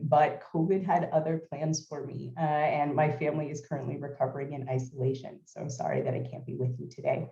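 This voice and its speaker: Hoarse woman w low voice, keeps clearing her throat, echoey muffled remote audio. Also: dark tone. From California. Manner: frequent throat clearing, measured. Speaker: institute president giving a welcome. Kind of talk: prepared welcome remarks, remote. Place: at home, remote video link.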